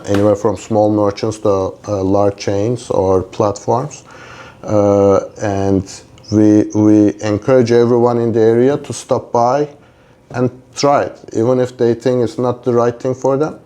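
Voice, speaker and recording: Low, mellow-voiced man from Turkey. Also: level tone, a little slow. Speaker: interview guest, company co-founder. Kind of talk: conversational podcast interview.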